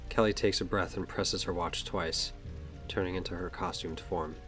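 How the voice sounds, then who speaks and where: Low-voiced male audio drama narrator. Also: fairly even intonation, moderate pace, a bit bright, soft background music.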